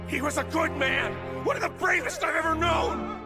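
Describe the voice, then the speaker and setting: An actor voicing a grieving, furious young male martial artist in an anime dub, shifting from shouts to quiet solemn vows. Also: moderate pace. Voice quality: intense, shouting then low and solemn. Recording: score music loud behind.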